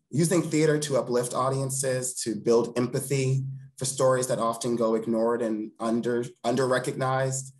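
A man's voice: mid-range voice, rough recording. A man in his thirties, a theatre director, talking casually as a guest on a video call from his living room. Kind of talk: casual conversational interview.

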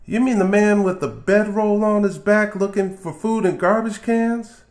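A man's voice: high-pitched, steady tone, moderate pace, darkish tone, pitched above the performer's own voice, lightly acted. A man voicing a protective mother in a storybook, wary and questioning. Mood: disbelieving. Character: protective, skeptical mother of a young boy.

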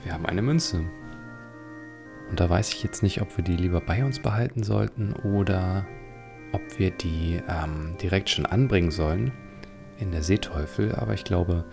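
A man giving casual, musing, unhurried commentary as he plays a video game. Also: low-pitched, gravelly, music in the background. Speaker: let's player.

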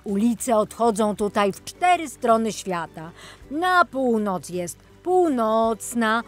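A woman's voice: on the high side, very animated, slow pace, faint music behind. A woman playing an enthusiastic, friendly man who guides children on a boat tour in an audiobook, exclaiming and explaining.

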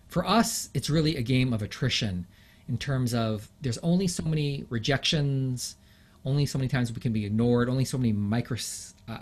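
A middle-aged man speaking as an interview guest, in a plain mid-range voice. He talks in a reflective, conversational way, with pauses and fillers as he works through his thoughts. His delivery turns lively when he stresses a point. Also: mid-range voice. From England. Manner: thoughtful, animated, with frequent fillers and laughs.

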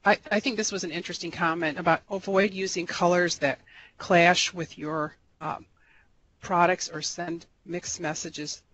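A man giving a recorded slideshow lecture as an extension educator, relaxed and rambling, with many fillers and restarts. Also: high voice, normal speed, rough recording. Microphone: voice-over for slides.

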